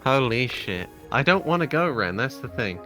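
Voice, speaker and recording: A man's voice: mid-range voice, sing-song, normal speed, pushed and bright, soft background music. A man bantering casually and jokingly as a guest on a review video.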